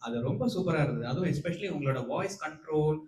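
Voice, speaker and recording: In a reverberant, slightly noisy room, a man with a fairly high voice gives audition feedback. He is encouraging and a little halting, dropping phrases of a second language into his praise. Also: expressive, darkish tone. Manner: encouraging, halting with fillers, mixes Tamil and English. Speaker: singing audition judge. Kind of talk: encouraging spoken feedback to a contestant. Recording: echoey room with background noise.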